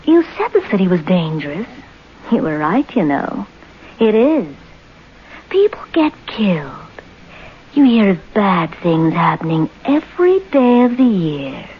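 Seductive mistress on dull vintage radio. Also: female voice, mid-range voice, big pitch swings, unhurried. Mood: insinuating, coaxing. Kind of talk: old-time radio drama acting. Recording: dull old radio recording, faint noise.

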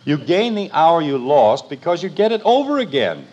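A man's voice: a little high, very animated, moderate pace, darkish tone, dull audio. An actor playing an exasperated, stubborn middle-aged father in an old radio sitcom, grumbling and arguing emphatically. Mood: flustered, exasperated. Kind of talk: 1940s-50s radio sitcom acting. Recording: noisy old radio recording.

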